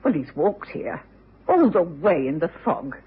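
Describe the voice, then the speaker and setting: Very animated, homely British housekeeper. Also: female voice, lowish voice, a little slow. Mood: apologetic. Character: deferential, homely female London housekeeper. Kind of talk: radio drama acting. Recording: noisy, phone-like old radio recording.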